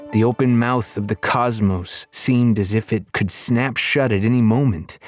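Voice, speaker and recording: A man reading a children's fantasy novel as an audiobook narrator, in expressive, dramatic storytelling style. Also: fairly low pitch, no room sound, studio quality.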